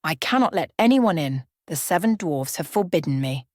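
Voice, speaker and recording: A woman's voice: sits low, expressive, moderate pace, a little mellow, no room sound, clean studio sound. A woman playing an innocent, cautious young princess. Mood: timid refusal.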